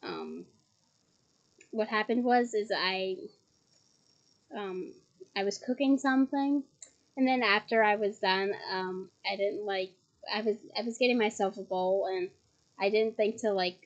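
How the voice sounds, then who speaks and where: A slightly hoarse woman reading a novel aloud at home, breaking off for chatty, giggly asides. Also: mid-range voice, lively intonation, measured pace, long pauses, dull audio. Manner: chatty, giggly asides between read passages. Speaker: reader of a novel. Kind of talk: casual read-aloud with asides. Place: at home on her bed.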